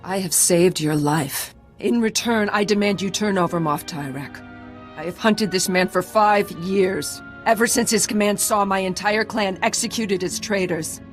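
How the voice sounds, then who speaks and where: An actress voicing a proud, vengeful clan warrior in a video game, speaking in a formal, solemn and intense way. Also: female voice, medium pitch, normal speed, soft background music, close to an everyday voice. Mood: anguished, demanding. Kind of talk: dramatic video game acting.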